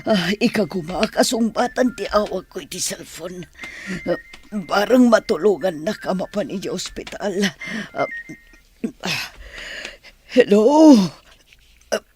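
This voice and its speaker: An actress playing an ailing, weary mother pining for her son in a radio drama, with a husky voice. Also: medium pitch, animated, unhurried, long pauses, a little mellow, lightly acted. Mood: pained, distressed. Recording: music under the voice.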